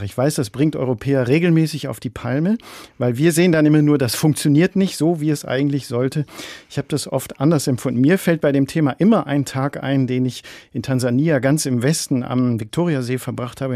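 A man, a theologian and studio guest, answering in a relaxed, reflective storytelling way. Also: mid-range voice, dry recording. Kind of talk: conversational radio interview.

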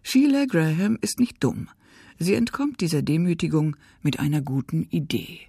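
A woman reading a scripted radio book review in a crisp, even narration with lively emphasis. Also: low voice, normal speed, dry recording, studio quality.

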